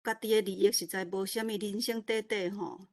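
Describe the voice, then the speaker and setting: A woman's voice: mid-range voice, steady tone, brisk. A woman with a Taiwanese accent speaking informally and modestly in an online meeting, rambling and repeating words as she searches for them. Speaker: new organiser of an online literature event. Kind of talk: informal unscripted self-introduction.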